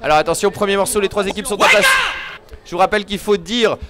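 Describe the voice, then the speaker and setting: A man hosting a music quiz with lively banter, in a mock-formal, teasing manner. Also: high voice, fast, heavy background noise. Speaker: game show host. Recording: loud music behind the voice.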